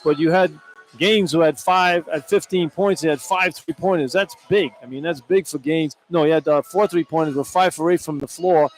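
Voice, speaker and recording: A man's voice: fairly high pitch, normal speed, soft background music, rough recording. A man giving unscripted sports analysis as a radio color commentator.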